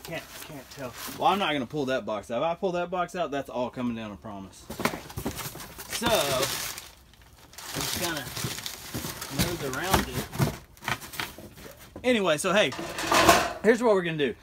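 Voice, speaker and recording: A man's voice: a little high, very animated, moderate pace, long pauses. A man, chatting casually and jokily to camera as he hosts a rambling unboxing vlog. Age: 30s. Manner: rambling, folksy, jokey. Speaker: host of storage unit unboxing show. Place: storage unit and truck, open doors. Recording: noisy camera audio with vehicle sounds.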